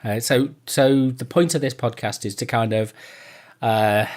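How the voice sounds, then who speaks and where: A man's voice: medium pitch, measured pace. A British man hosting a casual interview, talking in a rambling, self-deprecating way. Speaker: podcast host.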